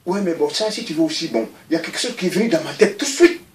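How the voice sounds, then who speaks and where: A man, giving a recorded interview and talking in an animated, rambling way, often repeating himself. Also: a little high, rapid-fire, roomy sound, recorded from a distance. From Mali.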